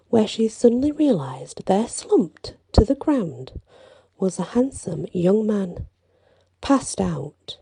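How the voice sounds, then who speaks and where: Woman, ASMR bedtime storyteller, very mellow tone, husky breathy softness, lingering pauses, slips into whispers. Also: medium pitch, no echo. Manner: soft, breathy, drifting into whispers.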